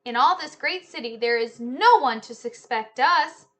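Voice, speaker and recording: Lady doing a cocky dim art robber from a kids book, bright highish voice, showy villain talk. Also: animated, unhurried. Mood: smug, scheming. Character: male art thieves, smug, dim, easily rattled.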